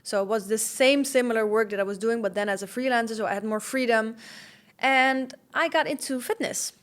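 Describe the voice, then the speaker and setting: A woman's voice: on the high side, fairly quick. A young woman with a slight Dutch accent, hosting her first podcast episode as a candid, slightly nervous solo talk with fillers. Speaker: podcast host.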